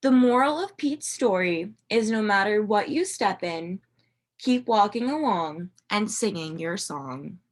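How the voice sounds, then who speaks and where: A woman reading a picture book to young children like a teacher, expressive and questioning, with dramatic emphasis and a slightly husky voice. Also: mid-range voice. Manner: animated, expressive storytelling with dramatic emphasis and pauses. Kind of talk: interactive children's read-aloud, asking questions.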